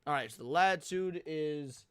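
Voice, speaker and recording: A young American man giving casual livestream commentary, with slangy, profane, excitable talk. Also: on the high side, normal speed, smooth. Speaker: livestream host reacting to a video.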